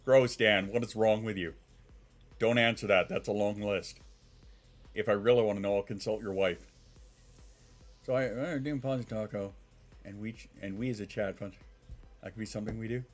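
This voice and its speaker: A congested man with a lowish voice, talking loosely to his stream chat from home. He rambles, swears freely and sniffles between sentences. Soft music plays faintly behind him. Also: fairly even intonation, moderate pace, pauses often. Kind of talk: casual live-stream chat.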